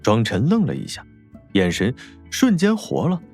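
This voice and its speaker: Animated male audiobook narrator. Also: mid-range voice, normal speed, gravelly. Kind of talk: scripted dramatic narration of a novel. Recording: faint music behind.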